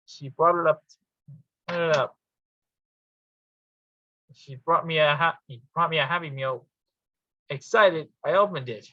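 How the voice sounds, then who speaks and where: Husky man performing a comic monologue. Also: fairly high pitch, bright, forceful tone, no room sound. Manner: halting, theatrical delivery. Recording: dull lo-fi sound.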